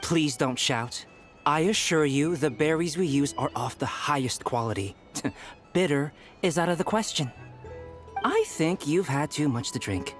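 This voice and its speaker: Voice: mid-range voice, lively intonation, a little slow, soft background music, natural voice. An actor playing a polite but firm restaurant waiter, courteous and composed, in game dialogue.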